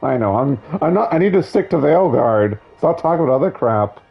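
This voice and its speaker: A hoarse man losing his voice, giving casual, opinionated, digressive commentary on a video game. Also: mid-range voice, lively intonation, brisk, highs cut off, soft background music. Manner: opinionated, digressive, many restarts.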